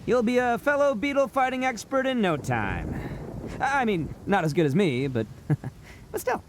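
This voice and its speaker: Brash boastful oni brawler. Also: male voice, high-pitched, a little slow, background noise. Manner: boisterous, laughing, animated. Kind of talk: video game voice acting. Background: game music.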